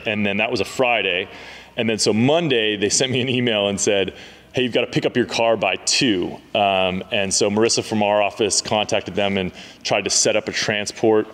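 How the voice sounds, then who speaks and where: A man in his thirties casually telling a story to camera in a large car garage, relaxed and chatty with many fillers. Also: on the low side. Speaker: car dealership owner and channel host. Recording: reverberant garage hall.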